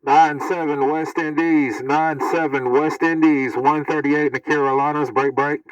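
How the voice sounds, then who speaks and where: A man's voice: a little high, steady tone, moderate pace, far from the mic. A man calling out on CB radio in short, repeated, chant-like phrases. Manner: repetitive, chanting call-outs. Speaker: CB radio operator. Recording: muffled, very noisy recording with radio static.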